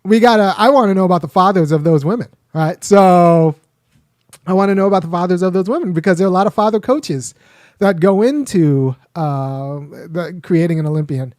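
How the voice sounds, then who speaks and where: A man's voice: sits high, a little fast, a little mellow, no echo, studio quality. A man with young children hosting a casual, rambling commentary monologue, often restarting his sentences. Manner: rambling, frequent restarts and fillers. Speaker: host.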